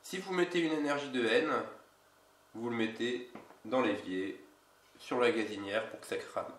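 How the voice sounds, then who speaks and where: A man with a cold, an energy healer giving a casual instructional video in a slow, halting way. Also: medium pitch, fairly bright tone, far from the mic. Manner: slow, halting, with many pauses. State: has a cold. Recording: roomy echo, away from mic.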